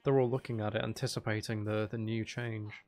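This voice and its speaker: Casual male gamer with creaky voice. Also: on the low side, level tone, fairly quick, darkish tone. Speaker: gaming video host. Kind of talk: casual unscripted gameplay commentary.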